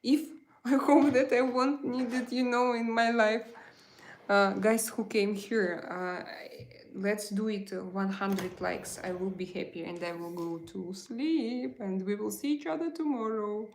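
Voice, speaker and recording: A woman's voice: medium pitch, steady tone, unhurried, roomy sound, slight background noise. A woman with a Russian accent and Russian as her first language, hosting a casual livestream, chatting with viewers and answering their messages with many hesitant fillers. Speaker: livestream host.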